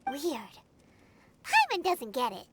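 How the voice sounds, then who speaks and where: A woman voicing a tiny, childlike fairy companion in a video game, squeaky, excitable and blunt, speaking of herself in the third person. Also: slow pace, heavy background noise, exaggerated voice. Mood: curious, puzzled. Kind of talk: video game character acting.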